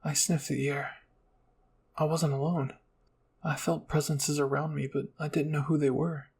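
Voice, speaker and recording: Husky man narrating a dramatic story. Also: fairly high pitch, little pitch movement, unhurried, darkish tone. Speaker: narrator, channel host. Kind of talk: dramatic scripted story narration, ASMR roleplay.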